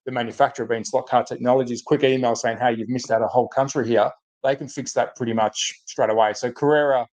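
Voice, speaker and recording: An Australian man with a slightly croaky, under-the-weather voice, hosting a casual livestream and thinking aloud as he chats to viewers. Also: medium pitch, fairly even intonation, fast, a little mellow, low-quality recording. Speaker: host of a hobby livestream. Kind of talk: casual live chat to viewers.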